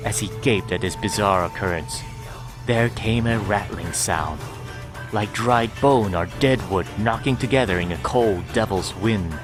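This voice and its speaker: A man narrating a scripted story in a measured, dramatic storytelling manner. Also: fairly low pitch, over music.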